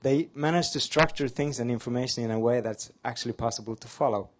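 A man's voice: mid-range voice, quick pace. Casting: man from Norway, native Norwegian speaker, conference presenter, upbeat and light-hearted. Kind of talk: fast-paced slide talk. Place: conference hall.

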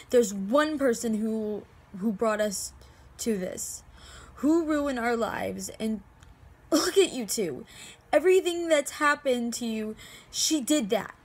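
A woman acting an anguished, lovestruck young heroine in amateur supernatural-drama monologues, with emotional, halting delivery. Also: on the high side, animated, slightly rough, heavily acted. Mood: bitter, accusing.

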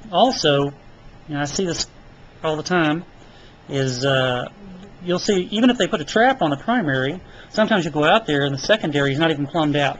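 Guy walking thru a diagram, kinda high voice, halting with lots of uhs, dull lo-fi home video with a bit of hiss. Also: fairly bright tone. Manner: casual, halting, many fillers. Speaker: HVAC technician. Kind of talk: explaining while sketching diagrams. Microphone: camera filming paper close up.

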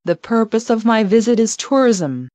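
A woman reading English travel phrases one by one, slowly and carefully, for learners to repeat. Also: fairly high pitch, lively intonation, mellow, no echo. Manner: careful, evenly paced, each phrase read alone. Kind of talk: slow clear phrase repetition for learners.

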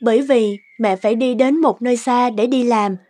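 A woman voicing a gentle, sorrowful young mother soothing a child in a cartoon. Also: a little high, fairly even intonation, moderate pace, close to an everyday voice. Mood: tender, sad. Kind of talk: Vietnamese cartoon dubbing. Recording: long reverb, music underneath.

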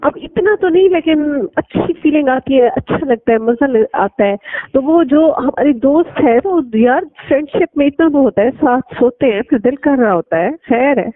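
A woman's voice: sits high, expressive, normal speed, hardly any pauses. A young woman chatting casually and privately in a recorded phone call. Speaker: caller.

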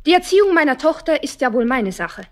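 Haughty high-voiced indignant mother. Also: big pitch swings, a little fast. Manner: haughty, formal. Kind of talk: children's radio play acting.

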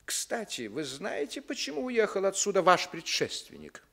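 Pompous, swooping, higher-voiced newspaper editor. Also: male voice, wildly swooping pitch, moderate pace, vocal fry, pushed and bright, heavily acted. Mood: veiled menace. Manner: florid, pompous, pleading with veiled menace. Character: unctuous, indignant Sicilian newspaper editor defending a mafia boss. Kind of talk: 1960s Soviet radio drama acting.

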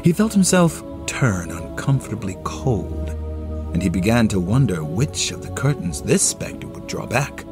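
Guy narrating an old story dramatically, slow and deliberate, music playing behind him. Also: on the low side, sing-song. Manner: theatrical, deliberate phrasing. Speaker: narrator and solo actor. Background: music under the voice.

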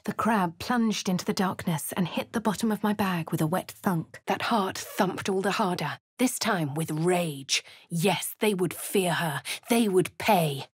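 An actress with a posh English accent voicing a composed young female adventurer in a game, crisp and clipped. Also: medium pitch, dry recording, studio quality. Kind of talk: game voice lines and narration.

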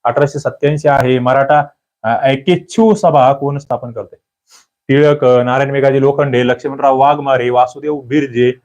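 A man's voice: medium pitch, fairly even intonation, normal speed, a little mellow, muffled recording. A man with a Marathi accent giving an online exam-prep quiz lecture, emphatic and repetitive, often putting questions to his listeners.

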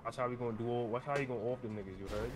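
A man's voice: mid-range voice, fairly even intonation, racing, background noise. A young man in casual, slangy game banter, brash, urgent and repeating himself. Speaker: online role-play game player. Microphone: gaming voice chat.

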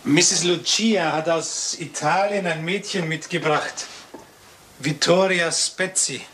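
A man's voice: on the high side, fairly even intonation, slow pace, a bit bright, slight background noise. An actor playing an earnest, deferential male secretary in a German radio crime drama. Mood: earnest, puzzled.

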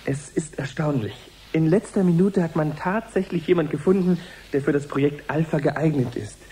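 An actor playing an earnest live reporter in a radio drama, speaking in a measured, formal, suspenseful way. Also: male voice, a little high, warm tone, a little hiss or hum, natural voice. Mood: earnest, curious. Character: earnest male live reporter following an experiment.

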